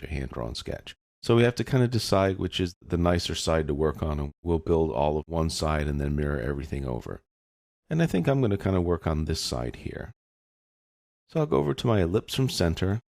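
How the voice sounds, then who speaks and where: A man talks through a software lesson in a very low voice. There is plenty of fry in it, and the sound is dry and close. He explains each step informally, as an instructor would. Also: dry recording. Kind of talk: step-by-step screencast lesson, casual.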